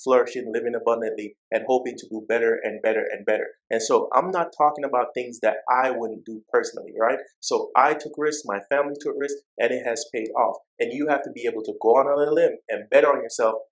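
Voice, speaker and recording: A man's voice: medium pitch, steady tone, brisk, few pauses, rough recording. A man from Jamaica with a Jamaican accent, a personal finance YouTuber talking straight to his viewers in a direct, exhorting way and repeating phrases for emphasis. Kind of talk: motivational talk to camera.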